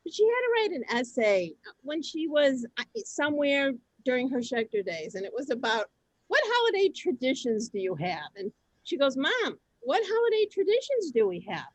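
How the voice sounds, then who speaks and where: Middle aged lady hosting a group online, kinda high voice, chatty and animated, very dry room sound. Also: normal speed, dry recording, low-quality recording. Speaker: host of a synagogue group session. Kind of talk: informal storytelling and hosting to a group. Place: video call, close dry sound.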